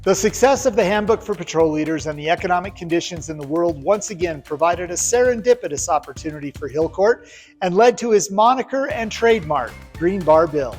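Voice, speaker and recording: A man giving scripted, measured documentary narration. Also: high voice, lively intonation, darkish tone, soft background music.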